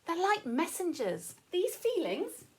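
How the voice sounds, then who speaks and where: A woman's voice: very high-pitched, huge pitch swings, slow pace, very noisy. A woman reading a story aloud to young children, playful and encouraging, often putting questions to the listener. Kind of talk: animated read-aloud with commentary for young children.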